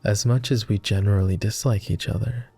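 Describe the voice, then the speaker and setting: A man's voice: lowish voice, fairly even intonation, a little fast, vocal fry, warm tone, husky, soft background music. A man voicing a devoted, world-weary immortal vampire companion in intimate roleplay ASMR, speaking tenderly and earnestly in formal phrasing. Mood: wistful, earnest. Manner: tender, earnest, hesitant, somewhat formal speech.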